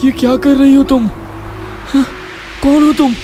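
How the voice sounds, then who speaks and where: A man's voice: very high voice, flat delivery, measured pace. A man playing a moody, bewildered young man in an acted horror story, dramatic and brooding. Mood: terrified. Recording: long hall reverb over music.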